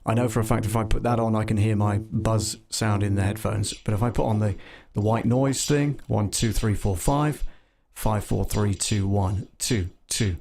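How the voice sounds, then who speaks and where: Male audio tutor for a casual live demo: slightish low pitch, gravelly creak, halting think-aloud delivery. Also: darkish tone. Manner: halting, thinking aloud, muttering test counts. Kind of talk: casual live software demonstration, troubleshooting aloud.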